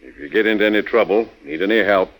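A genial, older small-town American sheriff from a 1940s radio drama, played by a male actor. His voice is very deep, and he speaks in a plain, folksy way. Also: fast, close to an everyday voice, low-quality recording. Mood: concerned, earnest.

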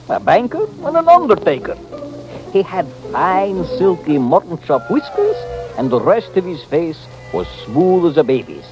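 An actor playing a folksy storyteller who narrates an old-time radio western. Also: male voice, fairly high pitch, darkish tone. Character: folksy male storyteller narrating a frontier tale. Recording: dull vintage radio recording. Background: music.

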